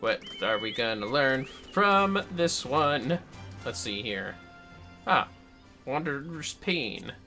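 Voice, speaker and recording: A man's voice: mid-range voice, animated, takes it slowly, bright, forceful tone, faint music behind. A man chatting casually and a bit ramblingly as he livestreams a game, swearing freely. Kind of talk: casual chat while gaming.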